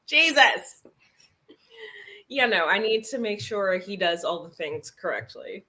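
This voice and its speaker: A young woman with a very bright, forward voice at an average pitch. She tells everyday stories in an animated, casual way and drops swear words easily. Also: slow pace. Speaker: guest on a group reading livestream. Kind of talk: casual chat.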